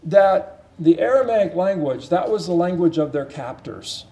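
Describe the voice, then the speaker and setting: Man, preacher, high pitch, warm soft tone, earnest, repetitive emphasis. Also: animated, unhurried, muffled recording. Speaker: pastor. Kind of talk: sermon Bible teaching.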